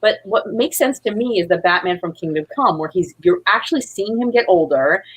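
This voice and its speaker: A woman's voice: medium pitch, expressive, a little fast, breathy edge, low-quality recording. A woman guest chatting casually in an informal, gushing, rambling way. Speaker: guest, playwright and audio drama creator. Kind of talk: casual chat.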